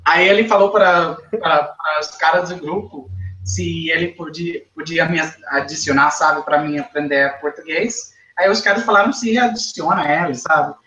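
A young man with a Costa Rican accent talks through his story in halting learner Portuguese, restarting words and tagging on "sabe?". His voice is bright and sits slightly high. He sits in a small living room, picked up by a webcam mic with a lot of room echo. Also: dull audio. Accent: Costa Rican Spanish. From Costa Rica. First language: Spanish. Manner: hesitant learner, repeats words, says "sabe?" often. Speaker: guest. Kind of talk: casual interview answers. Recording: echoey room.

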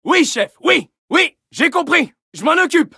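A brash, very high-pitched shouting soldier. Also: male voice, animated, unhurried, dry recording, studio quality, exaggerated voice. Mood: urgent, irritated. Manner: short, aggressive exclamations. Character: brash, taunting, foul-mouthed male French army soldier. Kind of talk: shouted battle voicelines for a video game.

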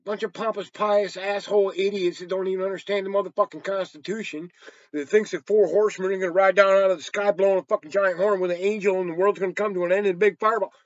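A man's voice: high voice, fairly even intonation, fast talker, no echo. An American man in his sixties giving a blunt, emphatic, profanity-heavy rambling monologue to a camera in a small room. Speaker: storyteller and vlogger. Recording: dull, lo-fi webcam sound.

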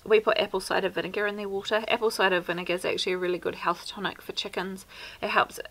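A woman's voice: mid-range voice, level tone, fast talker, a bit bright, poor audio. A woman in her thirties with a New Zealand accent, chatting practically to camera outdoors by a wooden fence about homestead care. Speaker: homesteader vlogger. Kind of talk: casual how-to talk to camera. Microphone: camera at arm's length.

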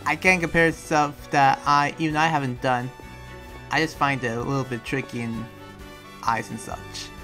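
A man playing a talkative, awkward young demon slayer in an improvised Minecraft roleplay, rambling and halting as he goes. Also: mid-range voice, little pitch movement, pushed and bright. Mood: rambling, explaining. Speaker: roleplayer playing the lead character. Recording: music behind the voice.

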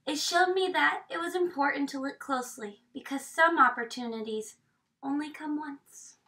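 A woman in her thirties reading a picture book aloud expressively, with dramatic hushed emphasis, recorded from a camera across a small room. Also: high-pitched, moderate pace. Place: small indoor room with bookshelves. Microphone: camera a few metres away. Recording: distant microphone in a room.

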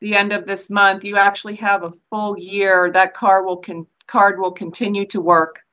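An older woman moderating a public online meeting as an elected official, speaking in a deliberate, encouraging way over a video call. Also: mid-range voice, level tone, bright, forceful tone. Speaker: elected official hosting an event. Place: small office. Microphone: video call computer microphone. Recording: dull video call audio.

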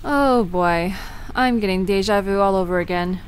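A woman's voice: medium pitch, moderate pace, a little hiss or hum, lightly acted. An actress playing a curious, joking young woman with a casual way of talking, in an audio drama. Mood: skeptical. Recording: music behind the voice.

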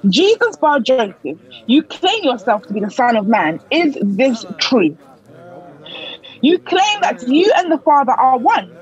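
A woman playing a stern, accusing high priest in an audio play, imperious and formal as an interrogator. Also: sing-song, measured pace, highs cut off. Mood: stern, probing. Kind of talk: dramatic audio play acting.

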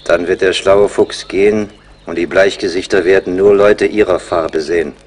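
An actor playing a sly, proud Huron scout in a radio play, solemn and guarded in manner. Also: male voice, low-pitched, steady tone, slight background noise, lightly acted. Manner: slow, solemn, speaks of himself in third person. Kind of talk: German radio play acting.

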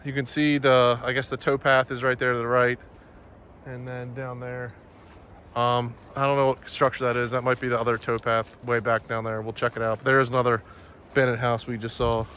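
A man narrating a hiking vlog in a casual, matter-of-fact, halting way as he walks. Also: mid-range voice, flat delivery, fairly bright tone. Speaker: hiking vlogger. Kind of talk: casual walk-along narration. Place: outdoors in woods by a river. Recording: outdoor phone video with ambient noise.

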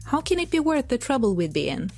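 A woman's voice: high-pitched, very animated, quick pace, darkish tone, slightly rough, soft background music, pitched above the performer's own voice, heavily acted. A woman voicing a skeptical male angel, second in command, in an audio drama. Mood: incredulous. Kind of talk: audio drama character dialogue.

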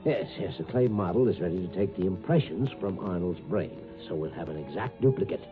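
An actor playing a fussy, self-satisfied sinister doctor in a 1950s radio drama, muttering and gleefully patronizing. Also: male voice, sits low, animated, brisk. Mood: fussy, absorbed. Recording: tinny 1950s radio, music behind.

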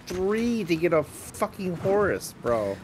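A man's voice: high voice, sing-song, slow, a crowd in the background. A man in casual chat while playing a co-op video game, joking and a little crude. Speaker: co-op gamer. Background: game music.

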